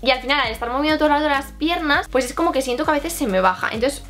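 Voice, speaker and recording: A woman's voice: sits high, rapid-fire, fairly bright tone. A woman in her twenties with a Castilian Spanish accent, chatting informally to camera in a casual haul vlog with rambling asides. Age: 20s. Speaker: vlogger. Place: small bedroom, indoors. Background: background music.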